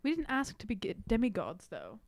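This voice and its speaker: A woman improvises casual in-character banter as a tabletop player. Her voice sits a little high and she talks with slangy emphasis. The sound is dry, with no room echo. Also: huge pitch swings, a little fast, gravelly.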